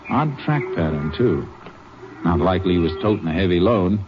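An American actor playing a calm, methodical Texas Ranger in a 1950s radio western, speaking in measured, terse lines. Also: male voice, sits low. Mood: focused, assured. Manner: measured, matter-of-fact, terse. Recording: muffled, noisy 1950s radio recording.

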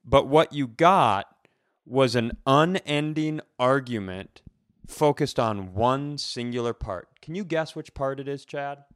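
A man's voice: medium pitch, slow, no room sound, studio quality. A man co-hosting a comedy podcast, ranting casually with profane, sarcastic, storytelling delivery. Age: adult, parent of young child. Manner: profane, sarcastic, animated storytelling with mimicked quotes.

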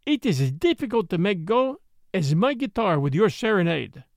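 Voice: mid-range voice, big pitch swings, normal speed, dry recording, studio quality. A narrator voicing a pert, childlike young Mexican woman in a storybook, speaking broken English with a Spanish accent and a teasing lilt. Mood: pouting, teasing. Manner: saucy, lilting, childlike.

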